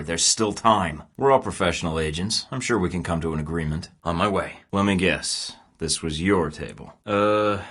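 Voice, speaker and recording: A low-voiced male spy who sounds unshakable and tosses off dry, sarcastic quips between terse mission lines. He is the hero of an action game, speaking in the clipped, self-assured way of a field operative. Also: a bit bright, slightly rough. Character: wisecracking male secret agent, cool under pressure. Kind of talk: video game voice acting.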